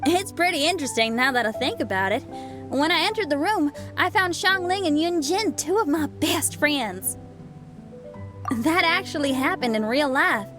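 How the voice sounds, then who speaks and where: A woman's voice: sits high, animated, moderate pace, fairly bright tone, faint music behind. An actress voicing a bold, frank young female rock musician with a straightforward, easygoing manner in a game. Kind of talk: game voice acting.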